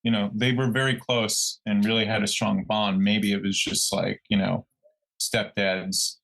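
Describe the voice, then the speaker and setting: A man co-hosting a podcast in casual true crime discussion, tentative and halting as he searches for words. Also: on the low side, flat delivery, vocal fry, a bit bright, a little hiss or hum, rough recording. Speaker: podcast co-host.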